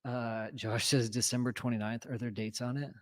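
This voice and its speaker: A man's voice: medium pitch, fairly even intonation, normal speed. A man telling a long, rambling story in a casual conversation, full of fillers and restarts. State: recovering from lost voice. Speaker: co-host telling a home renovation story.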